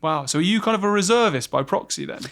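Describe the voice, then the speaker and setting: A man's voice: high voice, lively intonation, fast talker, a bit bright. A man hosting a podcast, asking questions in a friendly, conversational way. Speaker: podcast host. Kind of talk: podcast interview.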